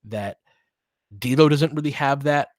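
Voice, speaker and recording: A man with an average-pitched voice commentates live basketball in a loose, conversational way. He slips between short play calls and slangy analysis aimed at his viewers, thinking aloud as he goes. The sound is dry, with no room around it. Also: takes it slowly, no room sound.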